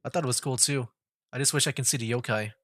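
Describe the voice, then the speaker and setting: A man's voice: medium pitch, fast, fairly bright tone. A young adult man giving casual livestream gameplay commentary as a game streamer. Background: game music.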